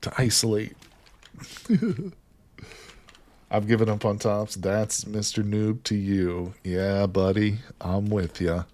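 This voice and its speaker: A man's voice: lowish voice, flat delivery, takes it slowly, pauses often, darkish tone. A man live streaming a survival game, chatting casually and joking with his viewers as he plays. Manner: chatty, jokes with chat, greets viewers by name.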